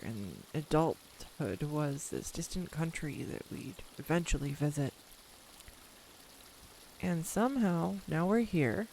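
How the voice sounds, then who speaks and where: A woman playing a slow, wistful returning childhood friend. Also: deep voice, a little mellow, a little hiss or hum, full character voice. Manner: hesitant, reflective, confiding, with pauses. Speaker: audio roleplay voice actor. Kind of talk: intimate scripted audio roleplay monologue. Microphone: close USB desktop microphone.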